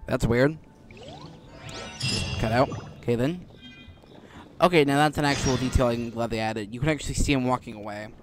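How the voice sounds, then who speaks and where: A man's voice: mid-range voice, normal speed, pauses often. A man giving casual, rambling commentary while playing a video game. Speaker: gaming commentator. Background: game music.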